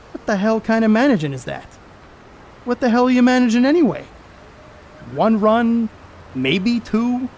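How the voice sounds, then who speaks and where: A man's voice: sits high, darkish tone, a little hiss or hum. A man on stage playing a brash, cocky 1930s baseball star who blusters in folksy, profane slang. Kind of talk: amateur stage play acting.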